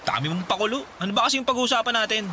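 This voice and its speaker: An actor playing a wary, skeptical young friend in a radio drama, with a suspicious, questioning manner. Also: male voice, sits high, expressive, rapid-fire, background noise.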